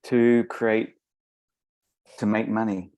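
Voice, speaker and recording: A man in his fifties speaking in a coaching session over a video call, hesitant and halting, with many pauses. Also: lowish voice, little pitch movement, a little mellow, smooth. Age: 50s.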